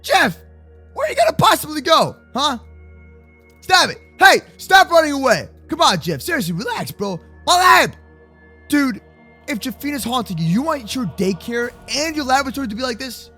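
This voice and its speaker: A young adult man improvising kids' Minecraft roleplay commentary in an excitable, slangy way, often repeating his words. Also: extremely high pitch, normal speed, slightly rough, soft background music. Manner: slangy, addresses partner as bro and man. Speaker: gaming video host and roleplayer.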